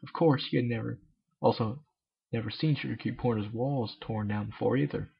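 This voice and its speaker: A man's voice: medium pitch, moderate pace, gravelly, slightly rough. A man narrating a story as an amateur reader, with joking asides, on a dull lo-fi home recording. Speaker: amateur fan fiction reader.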